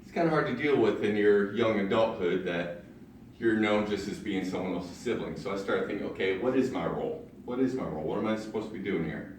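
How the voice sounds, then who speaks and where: A young man from Ohio with a deep voice, giving a prepared personal speech with self-deprecating jokes at a public speaking club. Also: animated, fairly quick, far from the mic, slight background noise. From Ohio, USA. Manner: self-deprecating humor, storytelling with punchlines. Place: large meeting room in a library. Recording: very echoey room, recorded from afar.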